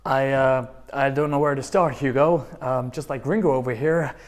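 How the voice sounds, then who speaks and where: A man acting a sarcastic, unimpressed young man among rock musicians in a sitcom table read, with sardonic, hesitant pauses. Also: medium pitch, steady tone, natural voice.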